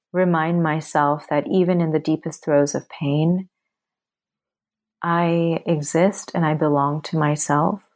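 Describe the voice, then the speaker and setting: Woman, from Bangladesh, author being interviewed, very low voice, earnest parallel phrasing. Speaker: author guest. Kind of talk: reflective interview answers.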